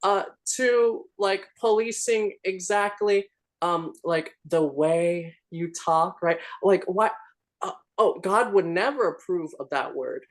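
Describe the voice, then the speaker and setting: A man holding forth in a church discussion group in an animated, lecturing way, often checking in with "right?". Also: high-pitched, slow pace.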